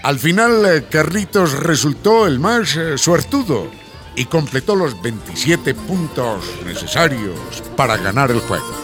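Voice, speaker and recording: An actor as the playful narrator of a radio serial, delivering scripted storytelling. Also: male voice, fairly high pitch, sing-song, a little slow, music in the background. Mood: playful, narrating.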